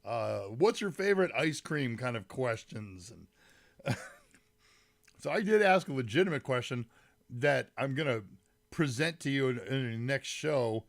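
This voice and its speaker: A man hosting a casual live tech stream, chatting with viewers by name and rambling a little, his voice a bit deep and congested from a summer cold. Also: lively intonation, measured pace, vocal fry, no room sound, studio quality. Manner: rambling, greets chat viewers by name, frequent fillers. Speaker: livestream host. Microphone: mic set some distance away.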